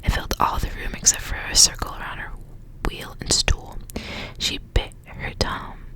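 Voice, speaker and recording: A woman speaking in a soft, breathy whisper, hushed like an ASMR reader sharing a story. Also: normal speed. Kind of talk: whispered ASMR story reading with casual asides.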